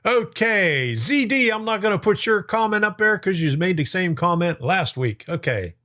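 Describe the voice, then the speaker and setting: A man's voice: high voice, very animated, normal speed, bright, forceful tone. A middle-aged man hosting a model-train live stream, chatting casually and reading viewer comments. His voice is getting worn out, and he chuckles often and greets viewers by name. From lives in Florida.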